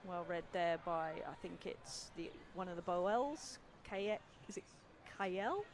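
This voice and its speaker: Relaxed woman football commentator. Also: on the low side, unhurried, a little hiss or hum. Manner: relaxed, conversational, reacts to play. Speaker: match commentator. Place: outdoor football stadium.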